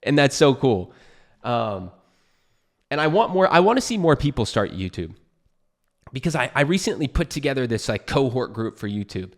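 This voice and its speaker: A young American man speaks in a medium-pitched voice, casual and unscripted. He sounds earnest and conversational, encouraging the listener as an online creator giving advice to a friend would. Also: very animated, moderate pace. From California, United States. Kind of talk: casual unscripted talk to camera.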